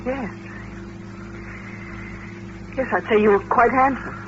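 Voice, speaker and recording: A woman's voice: on the high side, slow, dull audio. An actress in a 1950s radio drama playing a naive young female chorus dancer. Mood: curious, friendly. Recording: noisy, band-limited vintage radio recording.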